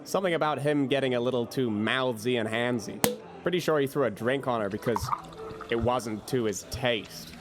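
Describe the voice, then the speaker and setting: A man's voice: medium pitch, moderate pace, very noisy, close to an everyday voice. Huffy, gossipy barman played by a man. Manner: indignant, gossipy. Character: gossipy, huffy male bartender, proud of his drinks. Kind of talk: audio drama acting. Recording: faint music behind the voice.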